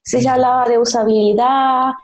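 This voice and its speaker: A woman's voice: on the high side, fairly even intonation, normal speed. A woman in her thirties with a Venezuelan accent, answering conversationally as a podcast guest.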